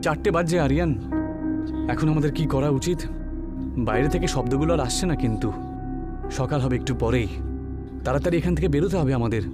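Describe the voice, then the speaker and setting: A man's voice: medium pitch, brisk, pauses often, close to an everyday voice. An actor playing a frightened, urgent young man in a horror cartoon. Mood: wary. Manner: urgent, anxious, colloquial. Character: frightened young men, friends fleeing haunted cinema. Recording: background music under the voice.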